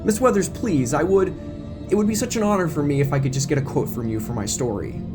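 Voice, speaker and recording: A man voicing an eager middle-aged woman reporter in an audio drama, stammering and flattering in a flustered way. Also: fairly high pitch, fast talker, over music, close to an everyday voice. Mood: gushing, insistent. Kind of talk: audio drama character acting.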